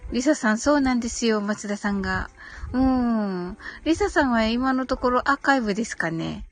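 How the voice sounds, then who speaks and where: High-voiced lady on a casual livestream, polite and agreeable, lots of little mm-hms, music in the background. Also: expressive, moderate pace. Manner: polite, agreeable, frequent backchannel murmurs. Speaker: live audio stream host reading listener comments. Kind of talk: casual live-stream chat. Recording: music playing behind the voice.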